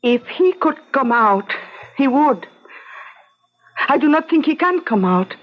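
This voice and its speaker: An actress playing an anguished, deferential Mexican wife in a radio drama, with a Mexican Spanish accent and hesitant, formal phrasing. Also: female voice, high voice, very animated, slow, heavily acted. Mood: fearful. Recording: dull radio recording with faint noise.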